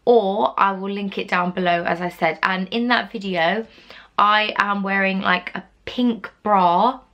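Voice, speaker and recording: Young female vlogger, croaky voice, chatty and rambling, talking to camera at home. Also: mid-range voice, a bit bright. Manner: rambling, lots of likes and ums. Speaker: YouTuber. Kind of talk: casual chat to camera.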